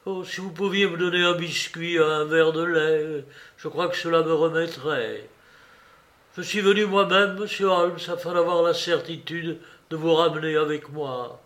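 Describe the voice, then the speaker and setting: A man voicing an exhausted, distraught gentleman visitor in an audiobook, pleading in a breathless, hurried way. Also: fairly high pitch, pitched above the performer's own voice.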